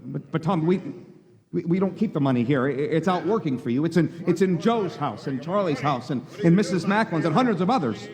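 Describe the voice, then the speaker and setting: A man acting a flustered, earnest young small-town everyman in a live radio drama. His voice sits fairly high and he stammers, doubling back on his words as he pleads. It rings through a reverberant hall. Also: lively intonation, fairly quick, a little mellow. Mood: earnest pleading. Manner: stammering, repeating words, folksy.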